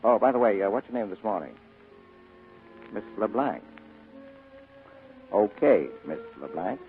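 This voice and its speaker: A man's voice: fairly low pitch, lively intonation, a little slow, music in the background. A man playing a wry, tough, cynical private detective in a 1940s radio drama, slangy and sardonic. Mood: wry, teasing. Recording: narrow, tinny old radio broadcast.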